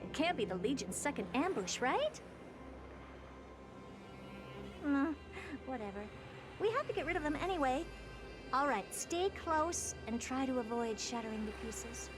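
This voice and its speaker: A woman's voice: sits high, big pitch swings, normal speed, music in the background. An actress voicing a self-assured genius woman scientist in a video game, with a haughty, offhand, dryly dismissive manner. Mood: puzzled, dismissive.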